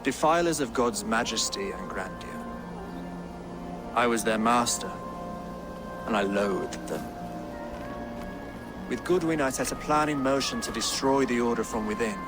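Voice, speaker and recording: An actor playing a pious, serene deposed English king in a video game, speaking formally and with measured solemnity in an English accent. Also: medium pitch, lightly acted. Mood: solemn, reflective. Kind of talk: dramatic video game acting. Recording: game audio with music underneath. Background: music.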